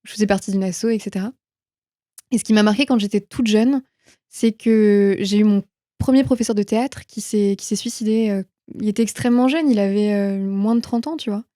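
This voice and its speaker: Dry, mellow-voiced young woman in conversation. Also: mid-range voice, brisk, no echo. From France. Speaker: interview guest, film production manager. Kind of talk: casual conversational interview, personal anecdotes.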